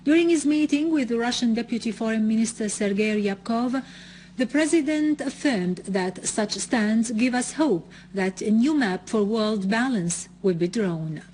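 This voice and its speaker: A woman news presenter reading a scripted bulletin in a formal, measured way. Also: fairly high pitch, lively intonation, darkish tone, a little hiss or hum, rough recording.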